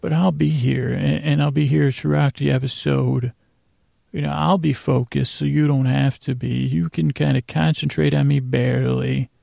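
Very creaky, droning man rambling softly. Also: medium pitch, little pitch movement, dry recording, clean studio sound. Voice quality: creaky, gravelly. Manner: droning, meandering, halting with repeated tangents. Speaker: podcast host. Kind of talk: rambling bedtime sleep podcast monologue.

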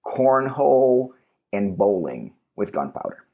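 A man's voice: lowish voice, sing-song, measured pace, vocal fry, dark tone. A man from Colombia co-hosting a podcast, chatting casually in a jokey, emphatic way. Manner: jokey, emphatic, trails off mid-sentence. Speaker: podcast co-host.

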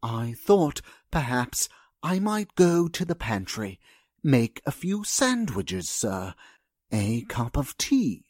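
An actor playing a meek, formal and very polite household butler in a 1940s style radio drama. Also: male voice, medium pitch, big pitch swings, takes it slowly, darkish tone, slightly rough, no echo, clean studio sound, exaggerated voice. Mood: meek, pleading. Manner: servile, polite, hesitant.

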